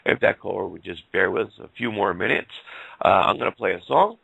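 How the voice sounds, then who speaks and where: Hoarse host guy rambling along, folksy, low gravelly voice, laughs a lot. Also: expressive, fairly quick, a bit bright, dry recording, dull audio. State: getting hoarse. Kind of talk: casual call-in talk.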